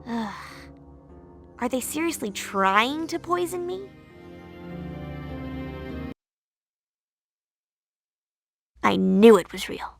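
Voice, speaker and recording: An actress playing a spunky, curious, sarcastic young girl in a video game, perky and expressive. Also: on the high side, a little slow, gravelly, soft background music, exaggerated voice. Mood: sarcastic, grumbling. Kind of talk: video game character acting.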